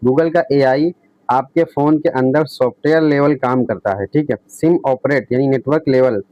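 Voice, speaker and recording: A man's voice: mid-range voice, normal speed, no echo. A man explaining tech as a video host, reading out points and restating them with frequent checking tags. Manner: repetitive, often adds a checking tag. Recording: dull lo-fi close recording.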